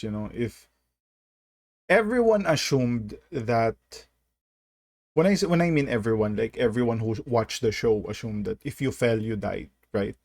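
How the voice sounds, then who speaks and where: Unhurried man commenting, dry close sound. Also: mid-range voice, no room sound. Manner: rambling, thinks aloud, often asks "right?". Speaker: host of reaction videos. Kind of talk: casual reaction commentary.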